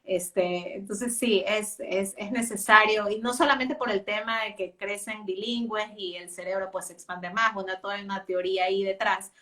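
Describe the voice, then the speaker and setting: A woman's voice: medium pitch, fairly even intonation, moderate pace, hardly any pauses, fairly bright tone, recorded from a distance. A woman, a native Spanish speaker, talking as a guest about homeschooling, with many fillers and restarts. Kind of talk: casual interview talk.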